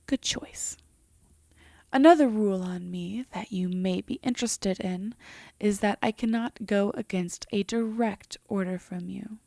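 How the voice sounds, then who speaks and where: A woman playing a composed, teasing female demon in an intimate roleplay audio drama, often slipping into a breathy half-whisper. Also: mid-range voice, a little slow, warm tone, no echo, studio quality. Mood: smug, teasing.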